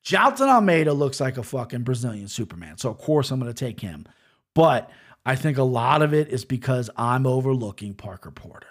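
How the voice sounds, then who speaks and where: A man's voice: mid-range voice, big pitch swings, a little fast, husky. A man breaking down fight betting lines in a casual way. He is brash and profane, and he rambles. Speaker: sports betting picks host.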